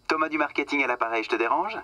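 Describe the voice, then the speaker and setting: A man's voice: fairly high pitch, rapid-fire, sharp tone, slight background noise. An actor playing a smug, patronizing corporate marketing man who talks in glib business jargon in a radio satire. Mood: falsely cheerful. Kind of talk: satirical radio comedy dialogue, phone-call scene.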